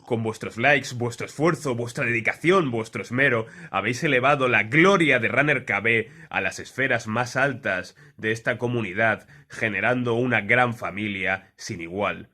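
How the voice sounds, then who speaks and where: A man giving a mock-solemn scripted holiday greeting in a deliberate, pompous manner. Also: mid-range voice, bright, forceful tone.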